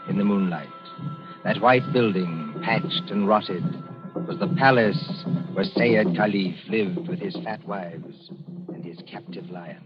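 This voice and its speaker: A man's voice: lowish voice, close to an everyday voice. An actor narrating a 1940s radio suspense drama as an ominous, portentous male storyteller. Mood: grave, dramatic. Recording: dull vintage radio recording, music behind.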